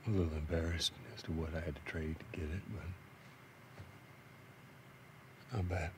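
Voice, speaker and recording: Man acting a gruff game father figure, middle-aged, very low voice, understated, tender, noisy ambience. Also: fairly even intonation, quick pace, close to an everyday voice. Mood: guarded, awkward. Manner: hesitant, understated. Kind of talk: naturalistic video game acting.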